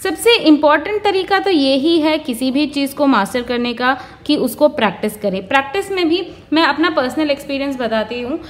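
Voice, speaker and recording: A woman's voice: sits high, moderate pace, hardly any pauses. A woman explaining interview advice in an earnest, instructive way, working through her points one by one. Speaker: career advice vlogger.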